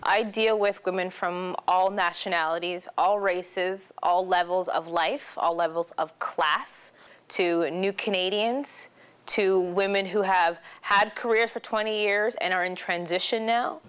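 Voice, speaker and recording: A woman's voice: lowish voice, fairly even intonation, measured pace, fairly bright tone, echoey room. A woman who coaches women in empowerment, speaking earnestly and reflectively in a documentary interview and building emphasis through repetition.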